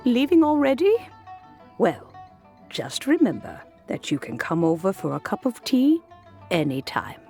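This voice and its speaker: An actress voicing a relaxed, hospitable woman with an easygoing, lilting delivery in a game. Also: mid-range voice, measured pace, creaky, soft background music, heavily acted. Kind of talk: game voice acting.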